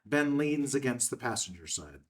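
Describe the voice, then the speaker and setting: A man's voice: medium pitch, big pitch swings, normal speed, far from the mic. Male script narrator, slightly off mic. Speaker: stage-direction narrator. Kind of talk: scripted narration at a screenplay table read.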